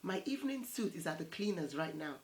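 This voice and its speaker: A woman voicing obliging animal friends in a folktale storybook, measured and offering. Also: fairly low pitch, big pitch swings. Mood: obliging, apologetic.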